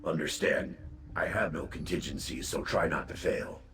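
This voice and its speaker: A man's voice: a bass voice, moderate pace, vocal fry, fairly bright tone, full character voice. An actor playing a cocky, grumbling demon dog in a fantasy game, brash, wry and blunt in the way he talks. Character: cocky, grumbling male demon hound serving a trickster master. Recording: echoing, faint game ambience noise.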